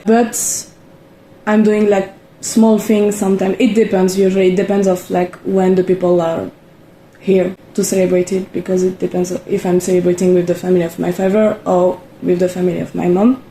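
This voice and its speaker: A woman's voice: on the low side, little pitch movement, normal speed, mellow. A woman in her early twenties with a French accent, answering casually in an interview. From France. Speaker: interviewed volunteer. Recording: echoey room, faint ambient noise.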